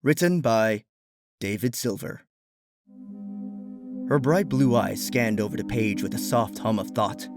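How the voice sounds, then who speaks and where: A man's voice: lowish voice, unhurried, long pauses, dry recording. A man reading a fan fiction story as a scripted narrator. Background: background music.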